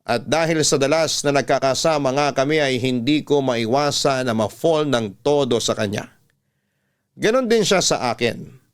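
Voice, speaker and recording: A man narrating a sentimental confessional story in a measured, scripted radio drama style. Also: mid-range voice, expressive, clear, resonant tone. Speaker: storyteller host reading a listener's letter.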